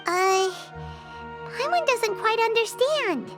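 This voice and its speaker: A woman voicing a tiny, excitable, curious fairy companion in a video game, with a perky childlike manner. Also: very high voice, slow pace, full character voice. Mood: puzzled. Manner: refers to self in third person, perky. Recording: music behind the voice.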